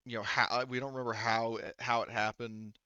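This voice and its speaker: A man playing a sick, reluctant spaceship captain in a tabletop sci-fi game, speaking haltingly. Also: mid-range voice, flat delivery, bright, forceful tone, smooth, dry recording, muffled recording, lightly acted. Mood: reluctant, worried.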